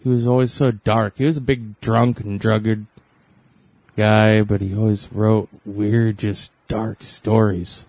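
A man co-hosting a casual chat, easygoing and halting, with many fillers. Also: on the low side, level tone, a little mellow, no room sound. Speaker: co-host. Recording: dry close sound with faint music.

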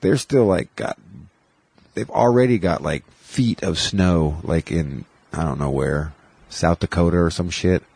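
A man's voice: low-pitched, measured pace, vocal fry, a little mellow, breathy edge, no echo. A man hosting a casual chat show, rambling and swearing with sarcastic asides, a bit congested after a cold. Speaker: podcast host. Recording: music playing in the background.

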